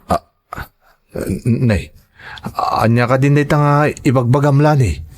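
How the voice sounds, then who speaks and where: A man's voice: medium pitch, steady tone, a little slow, natural voice. A man with an Ilocano accent playing an evasive, flustered husband in an audio drama, stammering and defensive. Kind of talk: dramatized audio serial acting.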